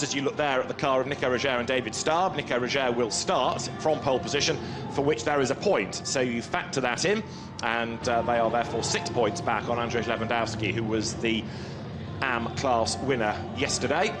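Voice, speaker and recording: A man commentating on a motor race from a commentary booth, explaining the rules in a measured, informative way. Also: mid-range voice, music in the background.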